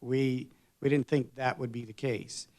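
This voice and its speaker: A man answering as a candidate at a public forum, measured and full of pauses and fillers. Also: mid-range voice, level tone, darkish tone. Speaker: board candidate.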